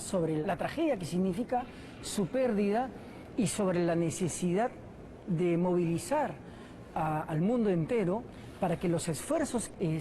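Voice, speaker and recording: A woman with a lowish voice answers an interview question. She talks thoughtfully and fills her pauses with small hesitations. Background noise is clearly audible around her. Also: dark tone. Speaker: interviewed language expert.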